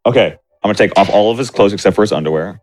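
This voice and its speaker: A man's voice: fairly low pitch, racing, darkish tone. A man playing a tabletop game, casually describing what his character does.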